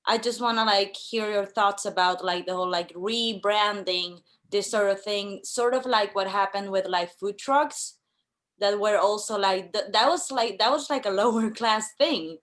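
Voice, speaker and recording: A woman from Chile asking a question from the audience, speaking haltingly with many fillers. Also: mid-range voice, fairly bright tone. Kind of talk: conversational question.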